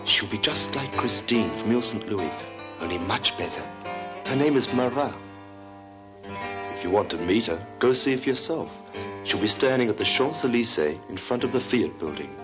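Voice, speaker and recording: An actor playing a worldly man tipping off a friend in an easy, knowing manner, on a dull old film soundtrack over loud guitar music. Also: on the low side, lively intonation, normal speed, close to an everyday voice. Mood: teasing.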